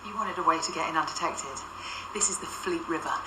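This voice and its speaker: World-weary woman agent for radio drama, acted, dry and exasperated, lowish voice, reverberant noisy setting. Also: brisk, lightly acted. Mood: wry, dry. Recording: echoey reverberant space, noisy ambient effects.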